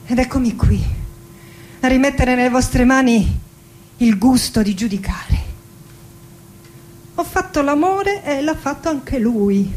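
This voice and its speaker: A woman's voice: high-pitched, lively intonation, takes it slowly, pauses often, darkish tone, slight background noise, rough recording. An actress playing a proud, passionate, defiant woman poet from Argentina in a theatrical monologue, declaiming dramatically and lingering on her words. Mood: bitter, tender. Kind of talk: theatrical poetic monologue with music. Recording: voice over live musical accompaniment. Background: music with accordion and guitar.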